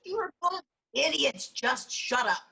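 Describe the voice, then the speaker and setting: A woman's voice: high-pitched, lively intonation, unhurried, creaky, bright, forceful tone, exaggerated voice. A woman playing a hot-headed, lovesick, stubborn goddess in a comedy screenplay reading, indignant and combative. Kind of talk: comedy screenplay table read. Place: online video call reading.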